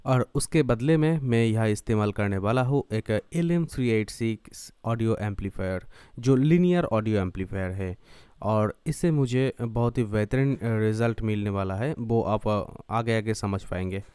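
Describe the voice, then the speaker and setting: A man's voice: fairly low pitch, normal speed, mellow, clear, resonant tone, no room sound. A man narrating a do-it-yourself tutorial step by step, mixing English terms and phrases into his speech. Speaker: electronics tutorial host.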